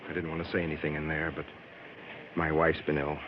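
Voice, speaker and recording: An actor playing a polite, controlling husband, solicitous and measured, in a vintage radio drama. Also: male voice, very deep voice, completely flat delivery. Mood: confiding, uneasy. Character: genteel, controlling husband, solicitous toward his wife. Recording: muffled, faintly noisy old radio broadcast.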